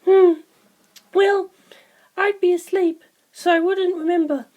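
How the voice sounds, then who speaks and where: A woman's voice: very high voice, steady tone, slow pace, darkish tone, pitched above the performer's own voice. A woman voicing a young female spirit in an audiobook, with a light, hesitant way of speaking. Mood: hesitant, apologetic. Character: Sugimoto, forgetful young female spirit stand. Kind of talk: audiobook character dialogue.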